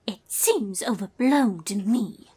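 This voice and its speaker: A woman's voice: mid-range voice, animated, slow pace, warm tone, breathy edge, full character voice. A woman voicing a haughty, refined young female pony, easily put out, with an affected, dismissive manner in a cartoon-style story. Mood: dismissive, sulky.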